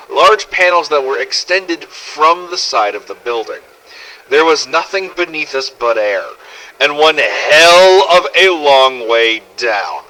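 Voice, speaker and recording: A man's voice: mid-range voice, bright, forceful tone, low-quality recording. A man narrating a scripted first-person survival story in character, with a dramatic, gritty delivery and some swearing. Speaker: narrator of fan-made game audio logs.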